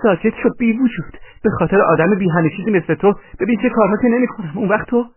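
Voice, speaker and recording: A man putting on a shrill, scolding, furious woman. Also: expressive, vocal fry, breathy edge, full character voice. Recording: tinny band-limited radio-like sound.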